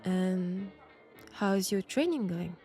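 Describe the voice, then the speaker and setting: A woman's voice: fairly low pitch, lively intonation, warm tone, soft background music. A woman playing a mysterious, flirtatious Frenchwoman in 1940s England, speaking with a French accent in an intimate, coy roleplay audio drama. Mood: curious, teasing. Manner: unhurried, coy, conversational with pauses.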